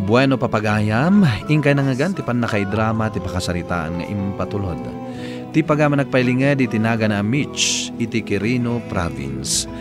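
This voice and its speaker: Low, expressive male radio drama host. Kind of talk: scripted Ilocano radio narration and advice. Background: music.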